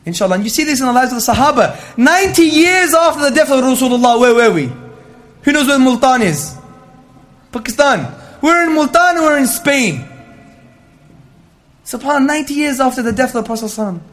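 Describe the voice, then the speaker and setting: A man with a high-pitched voice delivers an Islamic lecture to listeners. His delivery is emphatic and rhetorical, and he drops Arabic devotional phrases into his talk. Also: animated, fast, fairly bright tone. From Greek heritage. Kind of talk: religious talk to an audience, with questions.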